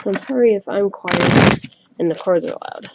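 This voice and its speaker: A soft-spoken woman giving a tarot reading to camera at home, chatty and a little self-conscious, recorded on a webcam microphone. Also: medium pitch, moderate pace, vocal fry, very noisy. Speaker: tarot reader. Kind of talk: casual solo reading to camera. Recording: dull lo-fi webcam mic recording.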